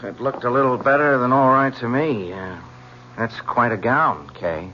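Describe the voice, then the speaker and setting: An actor playing a tycoon's young adult son, casually sardonic, in an old radio drama. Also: male voice, mid-range voice, normal speed, pushed and bright, natural voice. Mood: sardonic, probing. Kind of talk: 1970s radio drama acting. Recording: dull, faintly noisy old radio broadcast.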